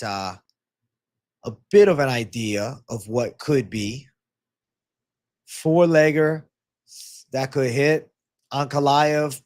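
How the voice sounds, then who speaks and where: A man with a mid-pitched voice that sounds a bit clogged from a stuffy nose hosts a casual livestream. He talks in a loose, slangy way, drops the odd curse and calls his viewers bro as he answers their comments. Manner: laid-back, slangy, swears freely, calls viewers bro. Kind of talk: casual livestream Q&A on fight betting.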